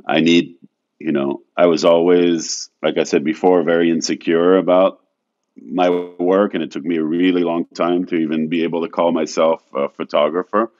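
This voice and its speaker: Very deep-voiced man, soft and hesitant. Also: flat delivery, moderate pace, dull audio. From France. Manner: soft-spoken, hesitant, many restarts and "you know". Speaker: photographer guest. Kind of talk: casual interview chat.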